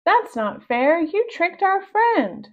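A woman's voice: very high-pitched, sing-song, slow pace, highs cut off, voice pushed up, exaggerated voice. A woman voicing a bold, feisty girl from a children's storybook, speaking with emphasis. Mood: indignant.

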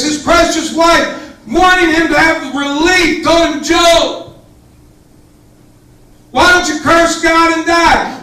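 A man's voice: very high-pitched, sharp tone. A middle-aged man preaching a Pentecostal sermon as pastor, fervent and emphatic, addressing his congregation directly. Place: church sanctuary with small congregation. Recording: echoey church hall, far from camera.

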